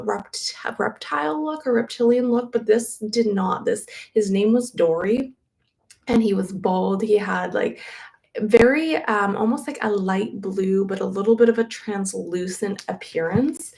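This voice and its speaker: A soft-voiced woman talks loosely to her livestream viewers as a tarot reader, right up on a close microphone. She rambles along with plenty of ums, likes and restarts, at an ordinary middle pitch, with a faint hiss of noise in the background. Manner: rambling, with many "um"s and "like"s and self-corrections. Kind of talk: casual livestream chat. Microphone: new microphone close to her.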